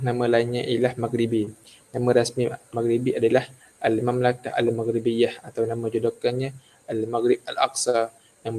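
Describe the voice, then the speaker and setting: Flat-voiced young man presenting to class. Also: medium pitch, rough recording. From Malaysia, Kelantan. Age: young adult. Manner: hesitant, many fillers and restarts. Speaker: student presenter.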